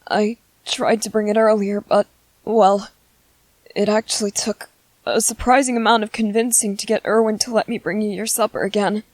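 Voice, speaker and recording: A woman voicing a gentle, apologetic young male soldier in an ASMR roleplay, soft-spoken and earnest, with halting pauses. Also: mid-range voice, fairly even intonation, dry recording, natural voice. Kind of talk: ASMR roleplay audio drama.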